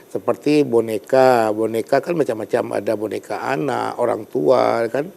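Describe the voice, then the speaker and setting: Male storyteller guest, gravelly and dark, agreeable and explanatory in a studio chat. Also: medium pitch, normal speed. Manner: agreeable, often affirming others, explanatory. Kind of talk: studio talk show explanation. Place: television studio.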